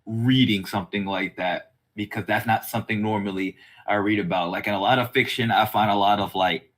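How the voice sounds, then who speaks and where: Low-pitched man chatting casually on a podcast. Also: fairly even intonation, fairly quick, a bit bright. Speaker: podcast host.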